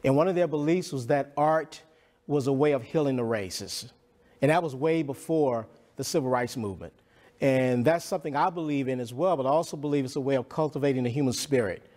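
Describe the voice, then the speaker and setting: A man's voice: medium pitch, fairly quick, a little mellow. A man in a documentary interview speaking earnestly and casually about his beliefs in theater. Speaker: theater practitioner.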